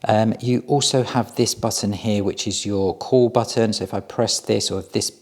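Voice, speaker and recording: A man with a fairly low voice talks through a product demonstration. His delivery is casual and plain-spoken, explaining things step by step as a reviewer would. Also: steady tone, moderate pace, a little mellow.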